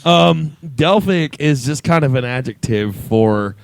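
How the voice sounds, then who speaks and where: A man's voice: medium pitch, lively intonation, unhurried, gravelly, dry recording. Casting: male radio host, rambling and joking, casual banter, very dry studio sound.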